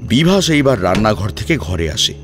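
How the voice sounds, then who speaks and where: A man's voice: fairly low pitch, big pitch swings, normal speed. A man reading a scripted story as a dramatic narrator. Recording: music behind the voice.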